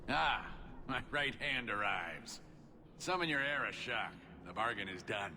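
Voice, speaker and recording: Blustering dwarf merchant, fairly low. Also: male voice, expressive, unhurried, pushed and bright, a little hiss or hum, exaggerated voice. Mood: impatient, smug. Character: brash, self-important dwarf merchant, male, impatient. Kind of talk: video game character acting.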